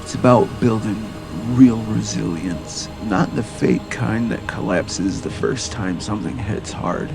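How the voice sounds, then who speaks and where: Male presenter, low register with heavy vocal fry, earnest and measured scripted motivational read. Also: poor audio. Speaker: narrator and presenter. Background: music.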